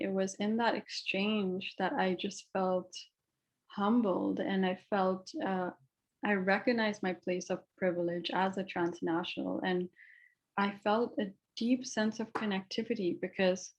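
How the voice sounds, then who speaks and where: A woman's voice: mid-range voice, darkish tone, dull audio. Reflective woman presenting her artwork. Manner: reflective, personal, with frequent ums and uhs. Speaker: artist presenting on a panel.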